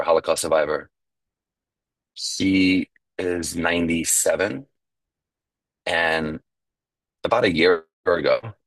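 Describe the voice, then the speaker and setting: A young man with an extremely low, deep voice shares his life story at a recovery meeting. He grew up speaking Yiddish in New York and talks in a reflective, halting way. The sound is dry and close. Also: a bit bright, no room sound. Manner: reflective, halting, with fillers and restarts.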